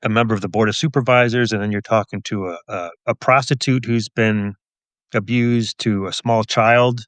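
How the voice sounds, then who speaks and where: Male interview guest, cop-turned-writer. Low pitch, dry close sound, thoughtful and explanatory delivery. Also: steady tone, normal speed, a bit bright, no echo. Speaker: police officer and author, interview guest. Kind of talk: thoughtful conversational interview answers.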